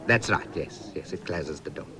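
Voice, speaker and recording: A man's voice: extremely low pitch, expressive, moderate pace, sharp tone, exaggerated voice. A man with a South African accent playing an exasperated, sarcastic shop customer in a 1970s radio comedy sketch. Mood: strained politeness. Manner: polite but seething, builds to frantic outbursts.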